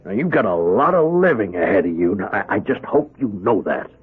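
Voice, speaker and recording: A man's voice: on the low side, big pitch swings, brisk, husky, full character voice. An actor playing a paternal but stern prison warden in a radio drama, hearty and impatient in his lecturing. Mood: encouraging, impatient. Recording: muffled, tinny old radio broadcast.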